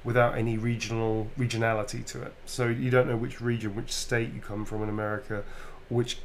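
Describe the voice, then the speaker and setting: British man, casual chatty Q&A, loose rambling delivery, middle pitch, faint background hum. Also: level tone, a little fast. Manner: chatty, loose and informal, full of ums and self-corrections. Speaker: voice actor answering fan questions. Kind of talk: casual live Q&A.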